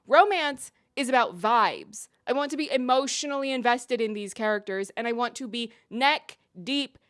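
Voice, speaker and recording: A woman's voice: fairly high pitch, normal speed, fairly bright tone, dry recording. Woman reviewer, emphatic, in a dry close recording. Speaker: video essayist and TV reviewer. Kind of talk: opinionated scripted commentary to viewers.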